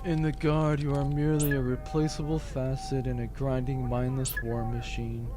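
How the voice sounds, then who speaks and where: A man playing a cynical, nihilistic lone-wolf soldier in an amateur sci-fi audio drama, with a gloomy, wordy, deadpan delivery. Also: medium pitch, moderate pace, music in the background, natural voice. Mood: bleak.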